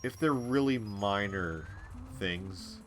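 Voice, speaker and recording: A man streaming a game, chatting casually with a stuffy, congested nasal voice and a rambling, relaxed way of talking. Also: lowish voice, takes it slowly, faint music behind. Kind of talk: casual chat while playing a game on a live stream.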